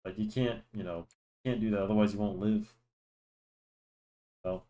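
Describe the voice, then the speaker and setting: Young male drawing host, low-pitched, rambling casual chat, nasal with a cold. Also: fairly even intonation, normal speed, warm tone. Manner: rambling, lots of restarts and fillers. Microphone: desk microphone.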